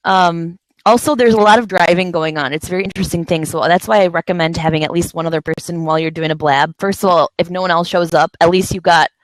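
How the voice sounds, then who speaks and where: A woman's voice: on the low side, steady tone, fast talker, hardly any pauses, dry recording, rough recording. A woman from the San Francisco area hosting a casual video chat, talking in loose, rambling sentences full of fillers. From San Francisco Bay Area. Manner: rambling, many fillers and restarts. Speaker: host of a live video chat.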